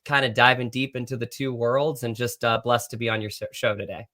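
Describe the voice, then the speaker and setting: A man's voice: medium pitch, flat delivery, brisk, pushed and bright. A man, an actor, answering conversationally as a guest in an interview.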